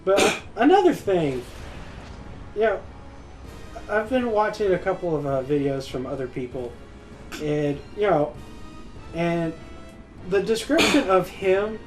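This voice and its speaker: A man's voice: high voice, animated, measured pace, long pauses, faint music behind, low-quality recording. A man co-hosting a game playthrough, chatting casually and reacting to the gameplay. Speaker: let's play co-host. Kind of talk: casual chat over gameplay.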